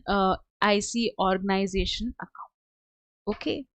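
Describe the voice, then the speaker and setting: Woman giving a halting software walkthrough, dry sound. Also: medium pitch, no room sound, poor audio. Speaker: software trainer. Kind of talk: step-by-step software tutorial narration.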